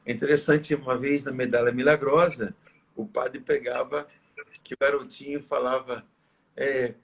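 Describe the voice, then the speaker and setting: Brief: weary priest, husky and hoarse, mid-pitched, reverent measured delivery. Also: male voice, fairly even intonation, fairly bright tone. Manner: reverent, measured preaching with slow prayers. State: hoarse, tired. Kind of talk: live religious talk and prayer.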